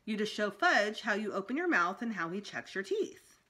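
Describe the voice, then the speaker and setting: A woman's voice: mid-range voice, big pitch swings, moderate pace, bright, forceful tone. A woman voicing a kindly, persuasive female nurse in a storybook. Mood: reassuring.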